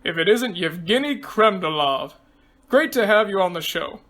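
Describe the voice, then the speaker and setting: A woman's voice: on the low side, lively intonation, normal speed, fairly bright tone. A breezy male reality-show host from a story, acted by a woman narrator. He is showy and glib, with a teasing way of addressing people.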